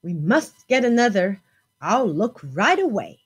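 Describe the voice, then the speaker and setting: A woman voicing a polite old mother from a storybook, speaking in a deliberate, expressive way. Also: mid-range voice, husky. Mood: exasperated.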